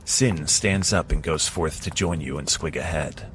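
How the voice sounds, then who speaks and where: A man narrating a second-person adventure drama with a dramatic, measured delivery. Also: very deep voice, a crowd in the background.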